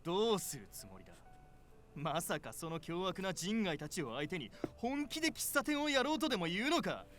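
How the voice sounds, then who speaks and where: Dramatic visual novel man, fast, lively delivery over game music. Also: high-pitched. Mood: scornful, menacing. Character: male anime visual novel character, intense, dramatic. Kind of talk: Japanese visual novel voice acting.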